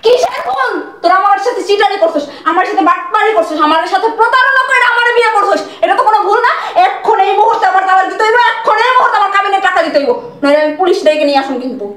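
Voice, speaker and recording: A woman playing a furious young bride who shouts insults and demands a divorce. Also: very high voice, lively intonation, racing, few pauses, roomy sound, background noise. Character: furious young bride, sharp-tongued and scolding. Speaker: newly married bride. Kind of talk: comic domestic drama acting.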